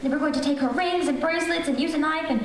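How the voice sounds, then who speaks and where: An actress plays an anxious, bossy invalid wife in an old-time radio thriller. Her voice is high and fussy, full of indignation. It comes from far off in a very echoey space with faint noise. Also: quick pace, natural voice. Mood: agitated, insistent. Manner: imperious, agitated, fussy. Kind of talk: old-time radio drama stage acting.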